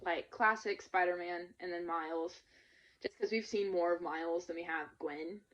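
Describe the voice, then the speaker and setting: A woman, co-hosting a casual podcast chat, animated and laughing, full of "like". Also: lowish voice, moderate pace. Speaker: podcast co-host. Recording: dull lo-fi podcast recording.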